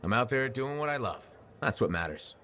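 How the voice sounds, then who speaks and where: An actor playing an easygoing, dryly wry freelancer pilot in casual video game dialogue. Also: male voice, mid-range voice, very animated, brisk, sharp tone, a little hiss or hum. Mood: warm, casual.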